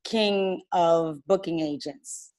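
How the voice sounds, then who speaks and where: Host: woman, fairly low voice, eager and rambling as she asks questions. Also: slow pace, a little mellow. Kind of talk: interview.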